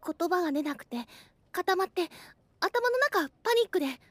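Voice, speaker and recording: Very high-pitched, gentle schoolgirl, acted by a woman. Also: animated, normal speed, no echo. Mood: tender, confessing. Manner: gentle, reassuring, feminine phrasing. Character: devoted, protective schoolgirl, shy about her love. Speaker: voice actor. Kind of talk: Japanese anime-like voice drama acting.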